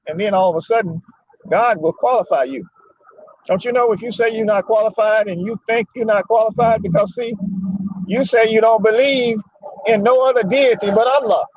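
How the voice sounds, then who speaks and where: High-pitched man giving folksy religious talk, dull audio. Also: no room sound. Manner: conversational, folksy, repeats phrases for emphasis. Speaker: community religious speaker. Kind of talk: informal religious talk with personal storytelling. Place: parked in a lot, talking remotely.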